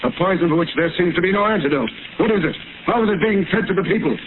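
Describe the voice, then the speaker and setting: An actor playing a hot-tempered, demanding city mayor with forceful, oratorical delivery in 1930s radio drama style. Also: male voice, high voice, rapid-fire, lightly acted. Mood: angry, demanding. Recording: scratchy, noisy, tinny 1930s radio recording.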